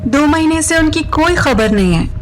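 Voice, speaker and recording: A high-pitched young woman of power, cool and imperious. She delivers pointed, haughty lines in serial drama style over faint music. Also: animated, moderate pace. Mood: feigned regret. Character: cool, imperious young wife seizing a company. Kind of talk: Hindi audio serial drama acting.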